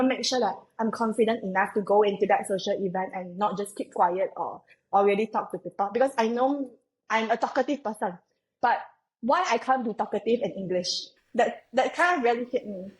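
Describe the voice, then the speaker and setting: A woman's voice: medium pitch, a little mellow, poor audio. A young woman from Malaysia, a podcast guest, talking reflectively in a casual, rambling way with frequent fillers. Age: young adult. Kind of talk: casual conversational interview.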